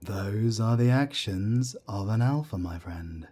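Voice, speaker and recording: Man playing a deep, husky, unhurried mentor. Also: lively intonation, a little mellow, exaggerated voice. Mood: earnest, insistent. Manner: measured, firm, reassuring. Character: calm, older male werewolf council member and mentor. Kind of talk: scripted roleplay audio drama.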